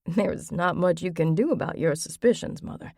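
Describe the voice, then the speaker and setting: A woman voicing a mild, dry-humored middle-aged father in an audiobook, speaking calmly and low. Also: moderate pace, gravelly, dry recording. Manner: calm, low, understated.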